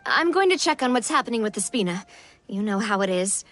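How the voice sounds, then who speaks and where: A woman's voice: mid-range voice, big pitch swings, a little fast, a bit bright, soft background music. A woman playing a kind, composed young leader in a video game, gracious in manner. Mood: weary, gracious.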